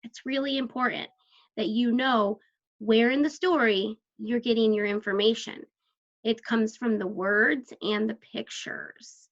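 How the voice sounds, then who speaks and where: A woman teaching young children about a story in a fairly high voice with noticeable creak. She speaks slowly, puts questions to her listeners and encourages their answers. The recording is somewhat dull and lo-fi. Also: steady tone. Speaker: elementary school teacher. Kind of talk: read-aloud lesson talk to young children. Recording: dull lo-fi sound.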